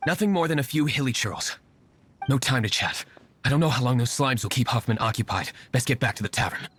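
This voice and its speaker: A man's voice: mid-range voice, fairly quick, a bit bright, a little hiss or hum, lightly acted. An actor playing a curt, aloof young nobleman and secret vigilante in a video game, speaking drily and formally. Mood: brisk. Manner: dry, formal, terse.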